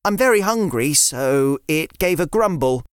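A woman's voice: deep voice, expressive, a little slow, clean tone, no echo, studio quality, voice pushed up. A woman voicing a sensible young boy who is earnest and a little flustered.

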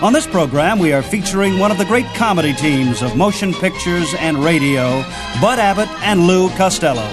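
A man's voice: high voice, lively intonation, normal speed, low-quality recording. An American man hosting a radio program, reading scripted narration over music. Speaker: radio show host. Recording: music behind the voice.

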